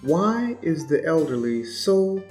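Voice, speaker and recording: A woman playing an earnest pitchperson with a deliberate sales delivery in an improvised comedy commercial. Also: very animated, a little mellow, soft background music, exaggerated voice.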